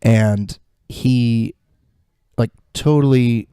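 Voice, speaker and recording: A man's voice: medium pitch, extremely slow, dark tone, clean tone, no room sound, studio quality. A man co-hosting a podcast, chatting casually in loose, slangy, sometimes profane phrasing. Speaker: podcast co-host. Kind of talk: casual chat.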